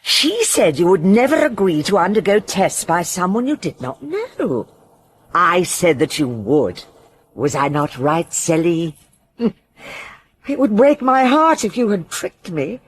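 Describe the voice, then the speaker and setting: An excitable older society lady, whose voice swoops and wavers as she gushes. She talks slowly with a husky edge, as in a radio drama. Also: on the low side, heavily acted. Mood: effusive.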